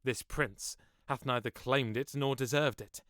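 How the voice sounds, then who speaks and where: A man's voice: medium pitch, steady tone, unhurried, fairly bright tone, dry recording, clean studio sound, lightly acted. A man playing a commanding, scheming nobleman, speaking persuasive, declamatory verse in a Shakespearean audio drama. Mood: scornful, insistent. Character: commanding, scheming duke, smoothly argumentative nobleman.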